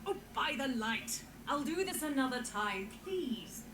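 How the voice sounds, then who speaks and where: A fed up dry witted magistrate in a fantasy game, very expressive, noisy echoey audio. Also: female voice, high voice, measured pace. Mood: exasperated. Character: exasperated, dryly humorous female magistrate. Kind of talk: fantasy video game dialogue.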